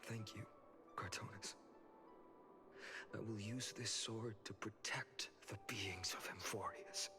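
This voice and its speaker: An actor playing an earnest young male hero in a fantasy video game, speaking in a measured, resolute, formal way. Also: fairly low pitch, steady tone, over music. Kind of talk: scripted video game dialogue acting.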